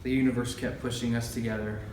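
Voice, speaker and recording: A man's voice: mid-range voice, flat delivery, fast, a little mellow, lightly acted. Man acting as obsessive student, noisy echoey recording. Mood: defensive. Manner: casual slangy college talk. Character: obsessive, deluded young male student defendant. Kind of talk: amateur student film acting. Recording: echoey, distant mic, clear background noise.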